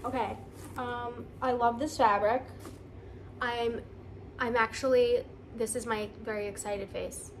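Female vlogger, excited and conversational, slightly high pitch, casual unboxing delivery, faint noise on the recording. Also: unhurried. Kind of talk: casual unboxing chat to camera.

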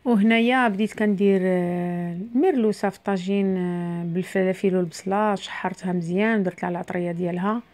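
A woman with a Moroccan Arabic accent talks quickly through a home recipe. She explains each step as she cooks, in a relaxed, chatty way. Her voice sits at a middle pitch. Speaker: cooking vlogger. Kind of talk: casual cooking voice-over.

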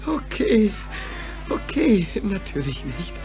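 A man's voice: high voice, big pitch swings, very slow, heavily acted. Timid teenage boy, submissive stammerer for audio drama, music underneath. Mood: nervous, appeasing. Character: timid, bullied teenage boy, eager to appease. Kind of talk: German audio drama acting.

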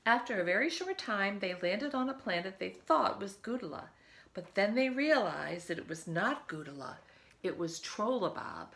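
Middle-aged woman with a creaky storybook voice. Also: medium pitch, animated, normal speed, fairly bright tone, a little hiss or hum. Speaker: teacher reading a picture book to children. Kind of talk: storybook read-aloud with chatty asides to children. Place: living room at home, by a window.

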